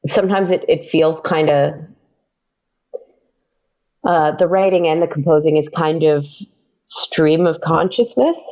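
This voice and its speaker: A woman's voice: deep voice, unhurried, pauses often, a little mellow, dull audio. A woman guest on a podcast talking casually about making her audio drama, hesitant with many fillers and restarts. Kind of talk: casual interview chat.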